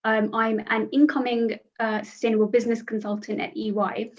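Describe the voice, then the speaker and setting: A woman's voice: a little high, flat delivery, a little fast, a little mellow. A young woman in her twenties, not a native English speaker, hosting a podcast with polished introductions. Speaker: host. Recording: dull lo-fi audio.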